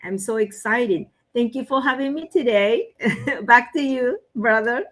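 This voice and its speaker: A woman's voice: fairly high pitch, a little slow, fairly bright tone. A woman from Japan with a Japanese accent, chatting casually and enthusiastically as a podcast co-host. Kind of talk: casual self-introduction and chat.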